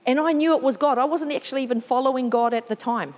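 A woman with a New Zealand accent preaching a sermon, lively and chatty, with asides that poke fun at herself. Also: high voice, fast, in a large hall. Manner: lively, warm storytelling with self-deprecating asides.

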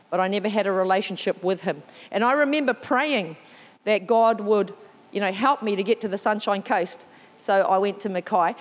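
A woman with a New Zealand accent preaching a sermon, lively and chatty, with asides that poke fun at herself. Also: medium pitch, big-room sound. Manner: lively, warm storytelling with self-deprecating asides.

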